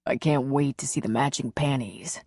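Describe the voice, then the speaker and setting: A big, tender romantic hero, as a female audiobook narrator plays him, murmuring to his lover. The voice is deep and husky, with a reassuring, amorous manner and a close, dry sound. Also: moderate pace, warm tone, no room sound, exaggerated voice. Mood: teasing, amorous.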